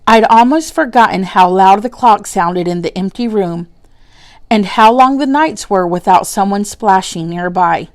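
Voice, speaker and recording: A woman reads a children's storybook aloud as a teacher would. Her pace is very slow and deliberate, with an expressive delivery and a medium-pitched voice. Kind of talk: storybook narration.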